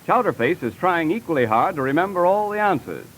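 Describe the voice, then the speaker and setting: A man's voice: fairly high pitch, sing-song, fairly quick, over loud music, rough recording. A man announcing and narrating from a script in 1930s radio style, on an old broadcast recording.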